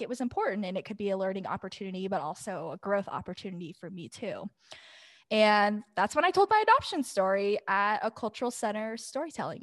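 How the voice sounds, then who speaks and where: A woman's voice: medium pitch, no echo. A young adult woman speaking as a podcast guest, telling personal stories in a chatty, informal and long-winded way. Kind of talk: casual conversational personal storytelling.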